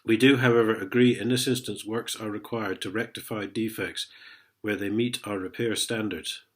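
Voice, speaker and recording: Bloke vlogging, stuffy with a cold, sarcastic, keeps chuckling, lowish voice. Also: male voice, steady tone, normal speed. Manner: sarcastic, chuckling, reads letters aloud mockingly. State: sniffly with a head cold. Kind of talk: casual ranting vlog.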